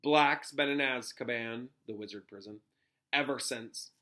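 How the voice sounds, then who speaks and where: Man playing a grave government minister, bright-voiced. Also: medium pitch, measured pace. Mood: somber. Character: pompous middle-aged government minister, grave and self-important.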